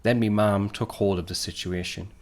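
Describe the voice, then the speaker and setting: A man's voice: on the low side, fairly even intonation, normal speed, close to an everyday voice. A man playing a young dead soldier son who wistfully narrates an audio drama. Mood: wistful narrating.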